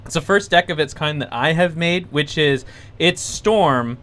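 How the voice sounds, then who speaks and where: Bright-voiced jokey stream host. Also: male voice, on the high side. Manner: upbeat, jokey, quick to tease. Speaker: stream host running the game. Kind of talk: casual chat over a card game.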